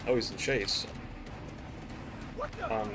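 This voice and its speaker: A man with a raspy, hoarse voice from a recent cold, chatting casually to his viewers while playing a game on a live stream. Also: lowish voice, fairly even intonation, slow pace, over music. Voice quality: raspy throat. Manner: laid-back, chatty, slangy asides to chat. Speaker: gaming streamer. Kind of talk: casual live gameplay commentary.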